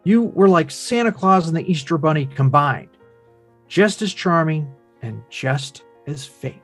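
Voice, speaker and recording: Man playing an earnest, frustrated son, higher-pitched. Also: animated, measured pace, a bit bright, faint music behind. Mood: bitter, hurt. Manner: earnest, pressing, emphatic. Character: frustrated, earnest grown son confronting his father. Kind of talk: table read of a film script.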